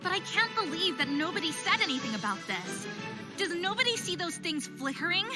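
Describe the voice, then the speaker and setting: A woman's voice: extremely high pitch, sing-song, a little fast, loud music behind the voice. A woman voicing an anxious but determined young female figure skater in a visual novel game, given to self-doubt and pep talks. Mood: amazed, indignant.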